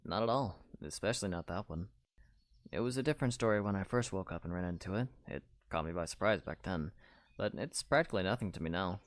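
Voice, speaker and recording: A man playing a calm, modest young knight in an audio drama, understated and reassuring, over music. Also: deep voice, fast, dry recording, lightly acted. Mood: reassuring, confident.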